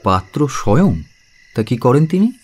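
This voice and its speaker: A man's voice: mid-range voice, big pitch swings, a little slow, a little mellow. An actor playing a courteous, caring young man in an audio drama, speaking politely and earnestly. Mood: earnest, probing. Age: young adult.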